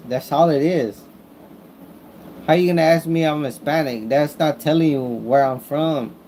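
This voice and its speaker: A man with an American accent talking casually as a vlogger, rambling through his opinions in a repetitive, halting way as he searches for words. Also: a little high, darkish tone, slight background noise, low-quality recording. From Mexican-American, born in the United States.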